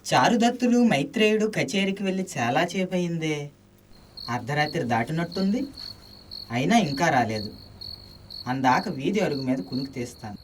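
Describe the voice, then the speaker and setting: A man's voice: a little high, lively intonation, normal speed, pauses often, far from the mic, slight background noise, close to an everyday voice. A man playing a plain, dutiful household servant in a classical radio drama. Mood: weary waiting.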